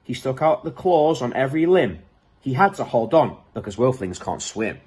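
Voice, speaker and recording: A man reads a children's story with dramatic emphasis. His voice is mid-pitched and husky, and his intonation swings in a lively, animated way. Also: normal speed. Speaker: storybook reader. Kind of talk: expressive children's story reading.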